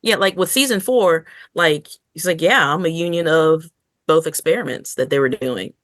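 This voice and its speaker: A woman co-hosting a podcast in casual review chat, telling things in an expressive, story-like way. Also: sits low, normal speed, no echo. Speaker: podcast co-host.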